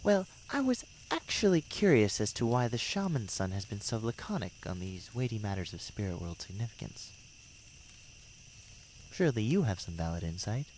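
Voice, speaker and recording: A man's voice: lowish voice, big pitch swings, moderate pace, a little mellow, a little hiss or hum, low-quality recording. A man playing a devilish, mocking demon tempter in a fan audio drama, speaking with theatrical mock courtesy and ornate words. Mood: taunting.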